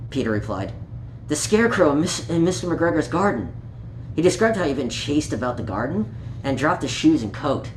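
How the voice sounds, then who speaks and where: A person reading a children's story aloud in an animated, playful way, with small asides and stumbles over words. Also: mid-range voice, brisk. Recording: echoey room with light background noise.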